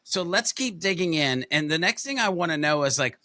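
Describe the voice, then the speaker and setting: A man's voice: on the high side, animated, fairly quick, pushed and bright, no room sound. A man hosting an interview show, upbeat and enthusiastic as he asks his guest questions. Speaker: podcast host. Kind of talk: casual interview chat.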